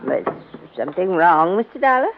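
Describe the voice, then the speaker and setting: A woman's voice: fairly low pitch, very animated, moderate pace, creaky, slight background noise. An actress playing a wealthy widow in her seventies, genteel and commanding, in a 1940s radio drama. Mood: gracious. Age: 70s. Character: wealthy elderly widow, gracious and bossy. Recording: muffled old radio broadcast.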